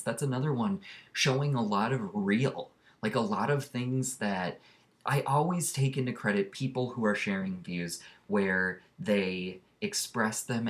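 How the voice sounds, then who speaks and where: Low-voiced man gushing about a film. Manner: enthusiastic, rambling, earnest. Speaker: vlogger. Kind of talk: casual movie recommendation vlog.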